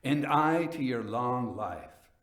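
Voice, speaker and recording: A man's voice: medium pitch, animated, takes it slowly, fairly bright tone, reverberant, far from the mic. A man acting a cunning avenger who feigns tender concern, speaking in a solicitous, emphatic way in a gothic tale. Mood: courteous. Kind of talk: dramatic gothic storytelling.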